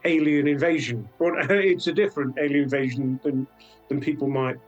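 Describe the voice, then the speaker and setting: A British man speaking as a guest author in a conversational interview, halting and reflective, often trailing into asides. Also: medium pitch, fairly even intonation, highs cut off, faint music behind. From Britain.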